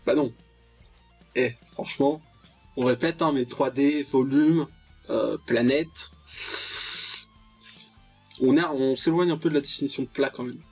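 A man's voice: medium pitch, unhurried, pauses often, a little mellow. A young man giving casual, jokey, mock-dramatic commentary while playing a visual novel, muttering asides and reading text aloud. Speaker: gaming video host. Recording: dull lo-fi voice track over clear music.

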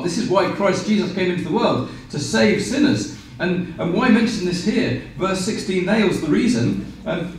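A man in his forties preaching a sermon with animated gestures and frequent fillers and restarts. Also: high voice. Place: small room with blinds, indoors. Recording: very echoey room, from a distance.

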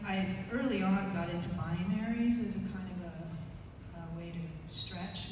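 A middle-aged woman artist speaking softly and haltingly at a panel talk, into a handheld mic. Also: on the low side. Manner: soft-spoken, hesitant with many ums. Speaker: artist guest. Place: gallery talk room with audience. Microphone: handheld mic heard from far camera. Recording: echoey room from far off, noisy.

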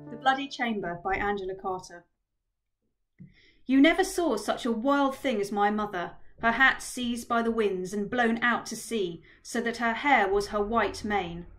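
A woman's voice: fairly high pitch, fairly bright tone. A middle-aged woman giving a dramatic reading of literary prose. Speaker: reader of a literary excerpt. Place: living room.

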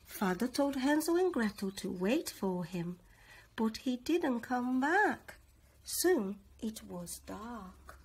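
A middle-aged woman reading a children's story aloud in an expressive, dramatic way. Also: on the high side, husky. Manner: slow, animated, dramatic. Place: living room, on a sofa.

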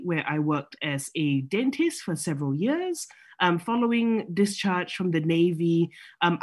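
Woman in her thirties with a Singaporean-Australian accent. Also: fairly low pitch. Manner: friendly, explanatory, with frequent ums. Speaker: oral medicine specialist guest speaker. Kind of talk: online presentation with slides. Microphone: video call.

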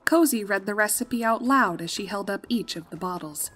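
A woman narrates a scripted story in a medium-pitched voice. She reads as a storybook narrator does, with soft music behind her. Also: normal speed.

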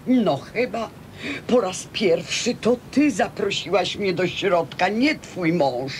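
A woman's voice: takes it slowly, natural voice. An actress playing an assertive woman neighbour in a radio drama, emphatic and wry, fed up with men. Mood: defiant, wry. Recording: rumbly, noisy radio recording.

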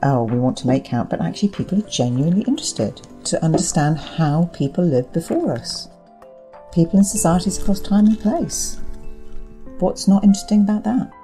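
A woman's voice: fairly low pitch, animated, moderate pace, dark tone, soft background music. A woman giving explanatory interview answers as an academic guest, articulate and emphatic, building points through repetition.

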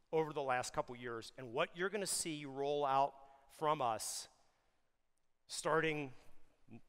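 A middle-aged man delivering an energetic, emphatic conference keynote on a large stage, pressing hard on key words as his pace rises and falls. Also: a little high. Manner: energetic, emphatic, speeds up and slows down for stress. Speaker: keynote speaker for an industry association. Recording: long hall reverb of a big venue.

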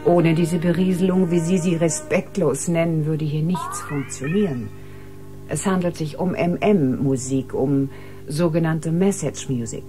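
A brisk, slightly condescending ship doctor in a science-fiction radio play. Her voice is very low and her manner clinical and instructive. Music runs clearly underneath. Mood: defensive, lecturing. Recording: music playing behind the voice.

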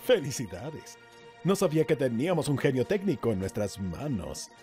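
A man's voice: medium pitch, sing-song, normal speed, mellow, lightly acted. A man playing a jovial, effusive older company boss in a cartoon dub. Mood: jovial, proud. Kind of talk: cartoon fan dub acting. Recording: music behind the voice.

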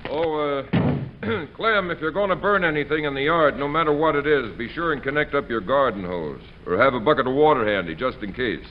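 A man's voice: mid-range voice, a little fast, lightly acted. A man playing a friendly, cautious neighbor in a 1940s radio comedy. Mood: polite, cautioning. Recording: muffled, noisy 1940s radio broadcast.

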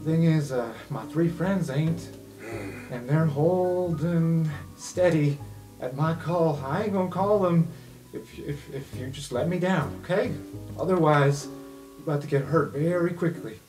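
A man plays a shifty goblin who works nights as a janitor in a tabletop fantasy game. He talks in a folksy, wheedling way at a slightly high pitch, dodging and hinting at menace. Soft music plays behind him. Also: measured pace, lightly acted. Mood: cornered, threatening.

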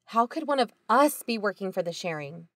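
Woman playing a bewildered, animated podcast investigator. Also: medium pitch, brisk, natural voice. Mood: tense, reasoning. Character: female investigative podcaster, bewildered but pragmatic. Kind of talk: naturalistic audio drama.

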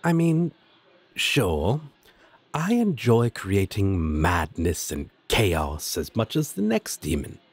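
Husky, flirty demon roommate played by a man. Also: lowish voice, huge pitch swings, dry recording, heavily acted. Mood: musing, relaxed. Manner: teasing, casual, drawn-out delivery with dramatic swoops. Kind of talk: scripted audio roleplay addressed to listener.

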